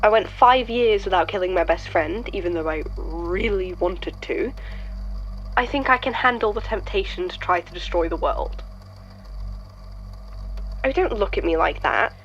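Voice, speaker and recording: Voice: mid-range voice, expressive, normal speed, a bit bright, highs cut off, a little hiss or hum. An actor playing a wry, weary, blunt troublemaker in an audio drama, talking in a dry, hesitant, conversational way. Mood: wry, defensive. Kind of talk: fan audio drama acting.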